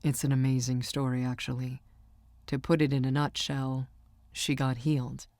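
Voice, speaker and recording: A woman voicing a calm, confident young man, easygoing and understated, as the romantic lead in an audiobook. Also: extremely low pitch, normal speed, no echo, pitched below the performer's own voice. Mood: calm, assured.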